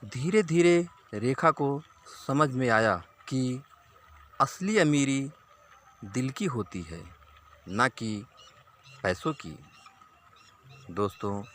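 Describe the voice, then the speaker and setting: A male storyteller narrates a simple moral tale at an extremely slow pace. His voice is bright and forceful. He delivers the story deliberately, breaking it into short phrases for his listeners. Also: medium pitch, animated.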